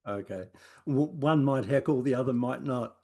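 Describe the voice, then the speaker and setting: A man's voice: medium pitch, normal speed, a little mellow. An Australian man hosting an interview, asking questions in an easygoing way with many small hesitations. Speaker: interviewer, host.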